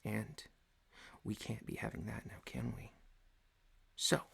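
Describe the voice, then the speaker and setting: A man playing a stern, pompous office boss in an ASMR roleplay, speaking formally and deliberately with theatrical pauses. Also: mid-range voice, vocal fry, husky, full character voice. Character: stern, pompous male office boss, mock-solemn, paternal. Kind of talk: scripted ASMR roleplay monologue.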